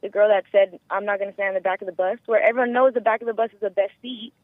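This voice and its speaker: A woman with a squeaky voice calling in by phone, chatting casually in a rambling way full of filler words. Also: steady tone, racing, no room sound. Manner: rambling, frequent "like" and "you know". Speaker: caller. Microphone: phone call. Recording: muffled lo-fi telephone line.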